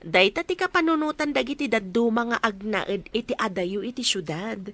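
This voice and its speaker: A woman's voice: on the high side, animated, normal speed, a bit bright. A woman playing an observant, chatty health worker in a radio drama, with a Filipino accent. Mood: curious.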